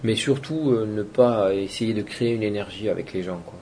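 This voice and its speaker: A man's voice: on the low side, normal speed, mellow, a little hiss or hum. A man from Toulouse, a DJ and producer, giving loose, rambling interview answers that trail off with fillers. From Toulouse, France. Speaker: electronic music DJ and producer.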